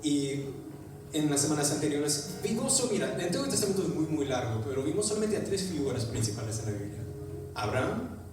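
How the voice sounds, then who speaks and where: A man whose first language is English, preaching an animated sermon in a church, with an English-speaking accent and frequent restarts. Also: a little high, brisk. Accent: English-speaking accent in Spanish. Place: church sanctuary. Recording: echoey church hall, distant mic, music behind.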